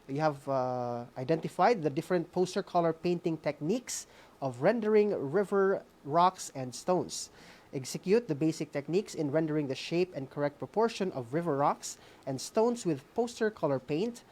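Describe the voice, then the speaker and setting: A woman's voice: low-pitched, lively intonation, normal speed, a little mellow. A woman with a Visayan Filipino accent hosting an on-air lesson, moving between reading and informal asides, often adding "no?" as a tag. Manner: code-switching, frequent "no?" tags. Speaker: host of a radio art class.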